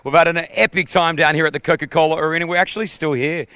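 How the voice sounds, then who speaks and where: A man's voice: fairly high pitch, steady tone, fast, sharp tone, smooth, dry recording, clean studio sound. A man hosting an upbeat live radio interview with a hyped, informal delivery. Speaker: radio show host.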